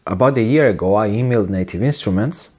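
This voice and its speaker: A man in his forties giving an opinionated video essay to camera in a deadpan, wry manner. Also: on the low side, fast. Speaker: host of a music production video channel. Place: small home studio, indoors.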